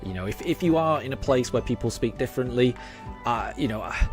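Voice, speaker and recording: A man's voice: medium pitch, expressive, brisk. A man in his forties from Yorkshire with a faded Yorkshire accent, chatting hesitantly with his live-stream chat over many ums and restarts while he plays. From Yorkshire, England. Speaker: game streamer. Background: game music.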